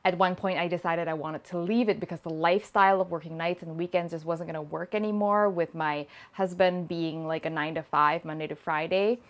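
A woman in her thirties from Thailand, hosting a cooking channel and answering viewer questions in a relaxed chat from her home kitchen. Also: fairly low pitch, brisk, few pauses. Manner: lively, talks with her hands, laughs often. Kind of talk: casual Q&A vlog. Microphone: small lapel mic.

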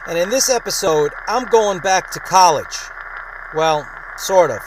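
Male ham radio vlogger, slightly high voice, casual hobbyist narration, clearly noisy recording. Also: lively intonation, measured pace. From New York, United States. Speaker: amateur radio vlogger and operator.

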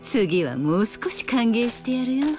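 An actress playing a sly, world-weary villainess in a Japanese anime game, cool and rough-spoken. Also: female voice, fairly high pitch, big pitch swings, unhurried, darkish tone, faint music behind. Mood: sly, teasing.

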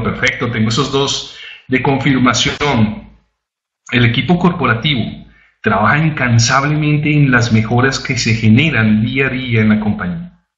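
A man's voice: mid-range voice, a bit bright. A man from Colombia leading an online company presentation, upbeat and rallying, talking to the chat. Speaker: corporate department head. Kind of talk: webinar presentation. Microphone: computer mic on a web conference. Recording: roomy, dull web conference audio.

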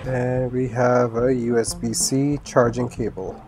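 A man's voice: medium pitch, level tone, unhurried. A man in his thirties giving a casual product review in a conversational way, with asides. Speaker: gear reviewer, indie filmmaker. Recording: music under the voice. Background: instrumental music with guitar.

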